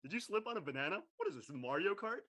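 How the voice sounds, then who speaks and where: Man playing a husky, sarcastic teenage boy. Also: sits high, very animated, fast talker, sharp tone, exaggerated voice. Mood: incredulous mocking. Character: sarcastic, mocking teenage boy, dry joker. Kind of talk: audio drama acting, casual dialogue.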